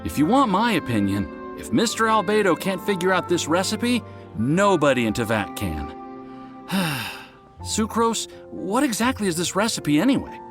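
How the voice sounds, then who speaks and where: A flustered young apprentice talks in a mid-pitched voice that rises and falls a great deal. He sighs often and stumbles over his words. Game music plays underneath. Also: sing-song, normal speed. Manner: flustered, sighing. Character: anxious young male alchemy apprentice. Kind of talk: video game dialogue.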